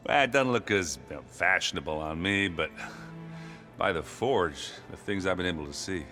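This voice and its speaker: Casting: good-natured warrior, very deep yet bright-toned, chatty and loose. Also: male voice. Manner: warm, rambling, easygoing. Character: friendly, boisterous young male warrior.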